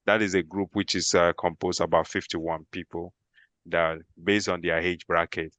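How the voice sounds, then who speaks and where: A man's voice: sits low, little pitch movement, moderate pace, fairly bright tone, no echo. A man speaking as an insurance agent in an online meeting, explaining informally and restating his points. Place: remote online group call.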